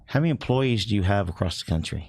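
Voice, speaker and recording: A man's voice: fairly low pitch, animated, quick pace, darkish tone, husky. A man hosting an interview, firing off casual follow-up questions. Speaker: host.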